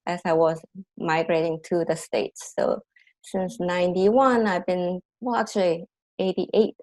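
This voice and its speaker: A woman's voice: on the low side, fairly even intonation, measured pace, a little mellow, poor audio. A woman in her fifties from South Korea with a Korean accent, talking in a casual interview, thoughtful, with many fillers and restarts. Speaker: massage therapist and dancer.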